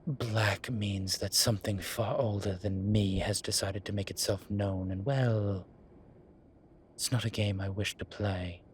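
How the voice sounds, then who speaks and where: A man playing a suave, vain incubus demon professor in an audio roleplay, speaking in a formal, measured, wryly teasing way. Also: on the low side, fairly even intonation, slight background noise, lightly acted. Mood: measured, serious.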